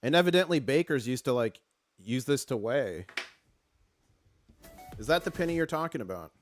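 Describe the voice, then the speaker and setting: A man hosting a casual live stream with a hoarse voice, chatty and excitable, reading viewer comments aloud. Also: medium pitch, moderate pace, slight background noise.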